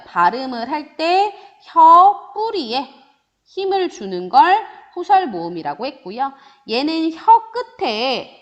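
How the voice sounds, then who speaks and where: A woman teaching a recorded grammar lesson, asking and answering her own questions in a rising and falling teacherly tone. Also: high-pitched. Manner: lively, rising and falling teacherly sing-song, voices student questions herself. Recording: dull lo-fi recording.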